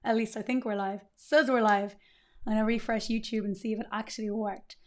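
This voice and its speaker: Woman, thirties, fairly high voice, chatty live coding host, slightly strained recovering voice. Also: fairly even intonation, a little fast, a little mellow. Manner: chatty, upbeat, greets chat viewers. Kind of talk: casual live coding stream.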